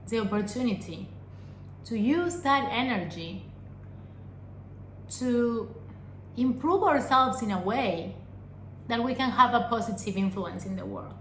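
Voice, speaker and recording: A young adult woman from Argentina, a vlogger giving an earnest personal talk to camera, pausing often and asking rhetorical questions. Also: medium pitch, fairly bright tone, a little hiss or hum. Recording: echoey room with faint ambience.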